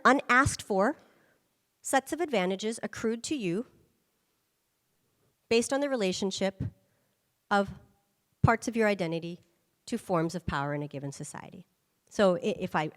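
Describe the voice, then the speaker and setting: Woman, emphatic presenter, mid-range pitch, lingering hall reverb. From United States. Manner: emphatic, with rhetorical "right?" check-ins. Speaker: guest speaker. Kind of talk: conference presentation.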